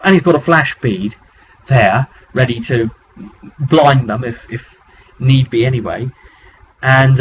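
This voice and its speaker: A man telling the story of a tabletop roleplay session as its game master, casual, colloquial and rambling, recorded on a webcam microphone. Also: mid-range voice. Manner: rambling, colloquial, acting out sound effects. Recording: dull, noisy webcam microphone.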